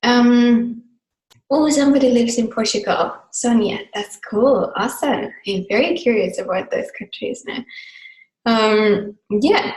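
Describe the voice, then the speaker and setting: A woman's voice: fairly high pitch, unhurried, darkish tone, echoey room, low-quality recording. A woman whose first language is Finnish, leading a live online class in a casual, chatty way with easy laughs and rambling asides. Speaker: class facilitator. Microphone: video call mic.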